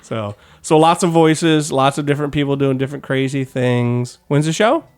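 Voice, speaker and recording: A man's voice: medium pitch, moderate pace, clean tone. Casual, chatty male podcast host. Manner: informal, jokey, rambling.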